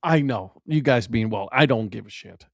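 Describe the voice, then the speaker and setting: A man's voice: medium pitch, moderate pace, no room sound. A native Spanish-speaking man co-hosting a sports chat, teasing and blunt. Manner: teasing, blunt, laughs often. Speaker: co-host.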